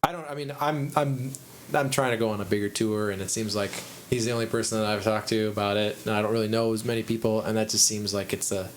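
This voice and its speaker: A man with a Midwestern American accent hosts a relaxed podcast chat. He talks in a low, chest-heavy register, wandering through his thoughts with frequent restarts. A faint hiss sits under the recording. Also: fast, few pauses. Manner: rambling, restarts, thinks aloud. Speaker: podcast host, musician. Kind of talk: casual chat.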